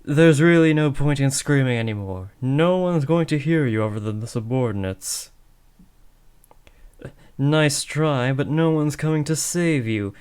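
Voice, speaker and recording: A man's voice: mid-range voice, animated, unhurried, a little mellow, clear, resonant tone, close to an everyday voice. A young man playing a villain's teenage son in a scripted roleplay, taunting theatrically and slipping into sheepish, casual talk. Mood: casual, boastful. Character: teenage villain's son playing at kidnapping, cocky but awkward. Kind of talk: scripted roleplay audio addressed to the listener.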